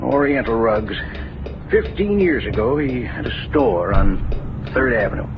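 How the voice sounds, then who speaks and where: An actor playing a tired, worried investigator in his sixties in an old radio drama, halting and formal. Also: mid-range voice, sing-song, highs cut off. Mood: troubled, guarded. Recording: old radio recording with music behind.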